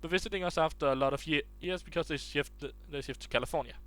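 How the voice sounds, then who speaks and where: A man's voice: on the high side, fast, a bit bright. Casual man reading online stories aloud. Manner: restarts and stumbles while reading aloud. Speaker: reader of online posts.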